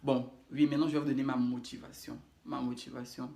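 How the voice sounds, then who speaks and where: A man's voice: medium pitch, level tone, fast talker, gravelly, dark tone. A young man from Cameroon with a Cameroonian French accent, introducing himself in a casual vlog, rambling and often repeating or correcting himself. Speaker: YouTuber. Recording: echoey room.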